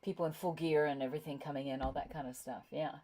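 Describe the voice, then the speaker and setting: A woman actor chatting casually over a video call after a table read, expressive and given to exclamations. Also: low voice, fast, crowd noise. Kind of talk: casual discussion after a reading.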